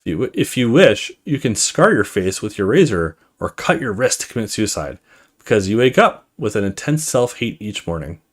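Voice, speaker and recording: A man's voice: fairly low pitch, very animated, normal speed, breathy edge. A man hosting a gaming video, reading story text aloud expressively in a casual let's play style.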